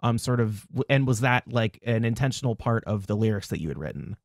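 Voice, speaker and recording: A man's voice: fairly low pitch, lively intonation, brisk, a little mellow, no echo, clean studio sound. Chatty young man hosting an interview. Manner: chatty, friendly, frequent "um" and "like". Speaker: interviewer host. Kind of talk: casual interview conversation.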